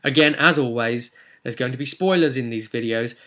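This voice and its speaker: Male game master and host, bright forceful voice, conversational storyteller recapping roleplay sessions. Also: medium pitch, normal speed. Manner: conversational storytelling, acting out characters' remarks.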